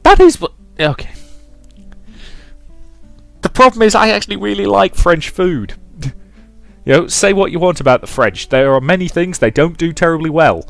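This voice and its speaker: A man's voice: fairly high pitch, lively intonation, moderate pace, creaky, pauses often, fairly bright tone, dry recording, faint music behind. A British man in his twenties, a let's play host reading a game aloud and commenting in a wry, rambling way. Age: 20s. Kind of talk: casual commentary while reading a visual novel aloud.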